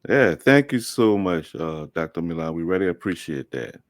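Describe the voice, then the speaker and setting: A man's voice: sits low, expressive, normal speed, dry recording, clean studio sound. A man from Liberia hosting a podcast interview, chatty and friendly, laughing easily as he asks questions. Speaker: host.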